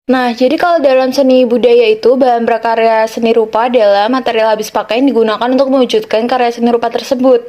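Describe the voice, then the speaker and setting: A woman's voice: a little high, fairly even intonation, fast, few pauses, dark tone. A young woman with an Indonesian accent, explaining scripted school material as a student podcast host.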